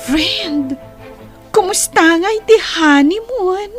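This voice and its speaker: Radio drama sidekick: nosy, excitable girlfriend, Filipino accent, unhurried, very high-pitched, exclamatory. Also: music in the background. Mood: concerned, probing. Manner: theatrical, exclamatory. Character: excitable, nosy female best friend, dramatic and supportive. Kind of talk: Ilocano radio drama acting.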